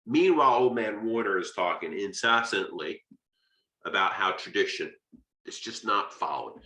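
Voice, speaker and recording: An American man lectures on literature in a lowish, crisp-edged, bright voice. He talks conversationally, leaning on key points and tossing in rhetorical questions. The room around him rings with noticeable echo. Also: expressive, normal speed. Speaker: literature lecturer and video host. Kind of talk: informal explanatory lecture.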